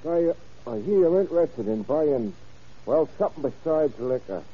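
An actor playing a shady underworld tipster in 1930s radio crime drama, slangy, furtive and insistent. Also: male voice, fairly high pitch, big pitch swings, normal speed, breathy edge. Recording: muffled, lo-fi old radio recording.

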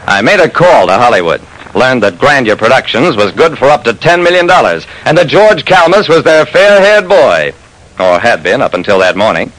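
A man's voice: medium pitch, big pitch swings, normal speed, fairly bright tone, poor audio. A man narrating a classic radio detective drama as its lead, in a wry, dramatic first-person storytelling manner.

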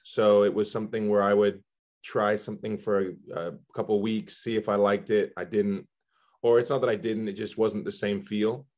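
A middle-aged man who grew up in Belgium speaks in a low voice as a guest in an interview. He answers in a measured, reflective way, pausing on ums as he thinks. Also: flat delivery, a little mellow. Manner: thoughtful, measured, with frequent ums. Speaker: guest, soccer general manager.

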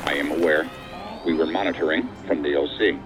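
Male federal agency boss, very low and fast-talking, dryly defiant, sci-fi audio drama. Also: fairly even intonation, over music. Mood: businesslike. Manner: dry, formal, authoritative. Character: unflappable, dryly defiant male assistant director of agents. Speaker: actor in a science fiction audio drama.

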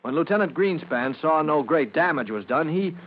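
An actor playing an earnest young firefighter who reports respectfully and a little hesitantly in an old radio drama. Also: male voice, a little high, expressive, moderate pace. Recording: muffled old radio recording.

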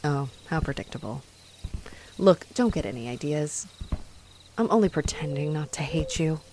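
Snarky, animated vampire woman, played by an actress. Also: low-pitched, normal speed, noisy background. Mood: haughty, menacing. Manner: mocking, sarcastic, theatrical, swearing. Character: snarky, haughty female vampire, flustered by her hunter rival. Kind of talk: roleplay audio drama addressed to the listener.

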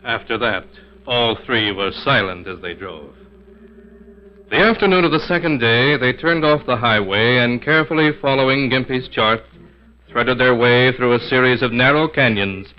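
A male narrator reads an old radio mystery in formal, measured phrases. His voice is mid-pitched, on a muffled vintage recording with faint music underneath.

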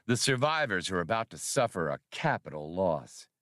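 Cocky male space pilot, acted by a male reader. Pragmatic, blunt, animated delivery, dry audiobook sound. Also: sits low, normal speed, dry recording. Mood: confident, wry.

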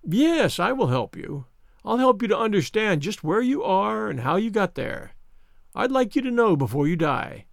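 A man's voice: sits high, very animated, moderate pace, creaky, no echo, studio quality, pitched above the performer's own voice, heavily acted. A man voicing a smug, cruel villain who taunts and sneers in a dramatized audiobook reading. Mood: cruel, taunting. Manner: taunting, insistent, mock-concerned.

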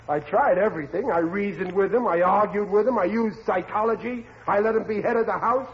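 Man playing a high-pitched blustering radio dad. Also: fairly quick, natural voice. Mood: exasperated. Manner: blustery, slangy, ungrammatical. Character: blustering, dim, exasperated working-class father. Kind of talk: 1940s radio comedy acting. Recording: muffled, hissy old radio recording.

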